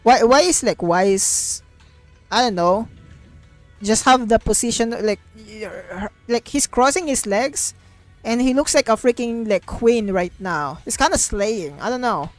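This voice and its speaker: A woman's voice: on the high side, a little slow, faint music behind. A woman livestreaming a game, chatting casually in a rambling, repetitive, informal way.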